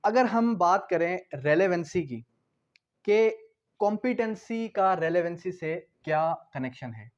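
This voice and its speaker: A Pakistani-accented man delivers an explanatory lecture in a noticeably high voice. He teaches step by step, repeating terms and asking questions before answering them himself. The recording is somewhat dull and lo-fi. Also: lively intonation, unhurried. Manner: didactic, repetitive, asking and answering own questions. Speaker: law teacher. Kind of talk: explanatory lecture mixing Hindi-Urdu with English legal terms.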